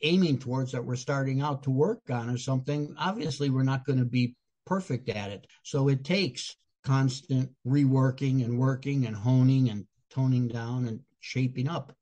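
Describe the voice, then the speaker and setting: An older man with a Chicago accent speaks at a middle pitch, answering interview questions. He explains his points earnestly, sometimes restarting phrases before settling into what he wants to say. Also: normal speed, few pauses, dark tone. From Chicago, USA. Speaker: guest speaker and comedian.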